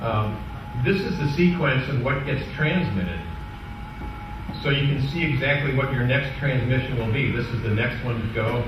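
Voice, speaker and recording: A man giving an informal technical presentation to a club, explaining a software demonstration step by step in a halting way. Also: medium pitch, highs cut off. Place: indoor club meeting room. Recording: echoey room from far off, clearly noisy.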